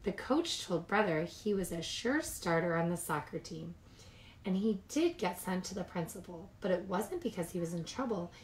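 A woman reading picture books aloud to young children as a storytime host, with animated delivery and chatty asides. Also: lowish voice, normal speed, a little hiss or hum, low-quality recording.

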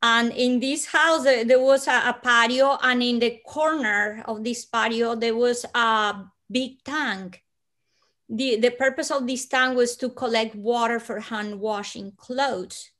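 A woman in her forties from Colombia with a Colombian Spanish accent, telling a personal story as an interview guest over a video call, slow and hushed with soft breathy dips and sudden lifts. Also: a little high, steady tone. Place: living room at home.

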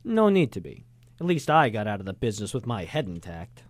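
A man's voice: on the low side, big pitch swings, fairly quick, dry recording. A man playing a cynical, world-weary ex-journalist who narrates a hardboiled noir radio drama in slangy, wry style. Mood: wry, casual.

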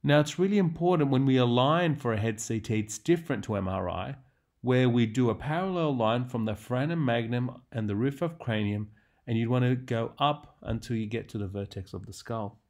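A man's voice: mid-range voice, fairly quick, darkish tone. A man lecturing on radiology in a didactic way, stressing key terms as he narrates prepared slides.

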